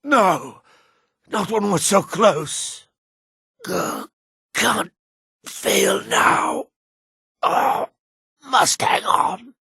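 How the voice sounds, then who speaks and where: An actor playing a wounded, desperate dark elf devotee in a fantasy video game, halting and gasping with a strained, raspy voice. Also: male voice, sits high, big pitch swings, dry recording, clean studio sound, heavily acted. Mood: anguished, pleading.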